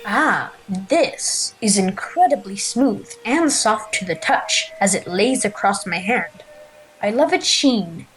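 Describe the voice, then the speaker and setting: A woman's voice: mid-range voice, lively intonation, slow, low-quality recording. A woman playing a dignified, enthusiastic emperor in an audio play, with earnest, declamatory delivery. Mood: curious, pleased. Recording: music behind the voice.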